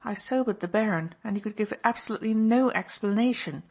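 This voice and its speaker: A woman's voice: medium pitch, fairly quick, pitched above the performer's own voice, close to an everyday voice. A woman voicing an overwrought baroness who talks indignantly. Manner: agitated, indignant.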